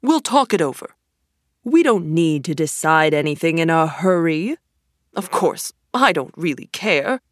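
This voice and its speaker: A woman voicing a boastful, excitable male toad in a storybook reading, gushing and showy. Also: low-pitched, measured pace, no room sound, clean studio sound. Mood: excited boasting.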